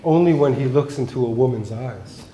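An actor playing a gallant, dutiful English diplomatic courier in a period stage play, formal and courtly. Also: male voice, mid-range voice, normal speed, natural voice. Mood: smitten. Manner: courtly, formal, clipped replies. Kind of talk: theatrical period stage acting. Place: theater stage, indoors. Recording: echoey stage hall, hissy background noise.

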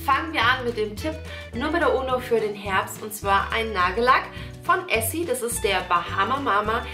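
A young woman, a touch high in pitch, talks through beauty picks in a chatty, gushing way. Her nose sounds a little stuffed up from a cold. The small room rings with echo, and music plays clearly underneath. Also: lively intonation, normal speed, sharp tone. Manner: chatty, gushing, addresses viewers as girls. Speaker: beauty vlogger. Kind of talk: casual product-recommendation vlog. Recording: echoey room with music behind.

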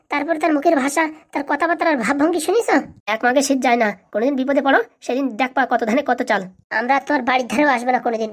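A woman plays a vengeful village uncle in a cartoon comedy. The words tumble out very fast, scolding, indignant and ready with threats. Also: sits high, lightly acted. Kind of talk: comic cartoon dialogue.

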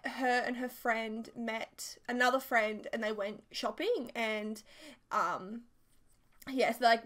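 A woman's voice: fairly high pitch, fairly bright tone. A young Australian woman with a sore throat from a cold, chatting in a casual, rambling way full of fillers as she tells a story over gameplay. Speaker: YouTuber narrating over a game build.

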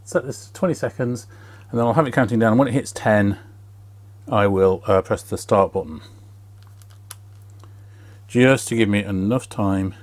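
A middle-aged man with a fairly low voice hosts a casual repair walkthrough. He explains each step methodically while working at a bench indoors. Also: normal speed, long pauses, a little mellow. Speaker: repair video host.

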